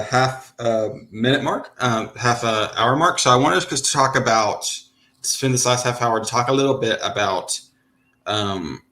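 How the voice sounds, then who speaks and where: A man's voice: mid-range voice, fairly bright tone. A man in his thirties hosting a podcast, talking in a loose, chatty way with many fillers and restarts. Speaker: podcast host. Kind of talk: casual chat.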